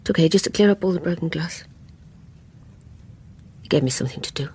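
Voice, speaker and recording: An actress playing a guilt-ridden, envious woman confessing in an intense radio play performance, with a breathy voice. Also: low voice, fairly quick. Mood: bleak, numb. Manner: intense, wavering between hushed confiding and anguished outburst. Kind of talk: radio play acting, dramatic confessional monologue.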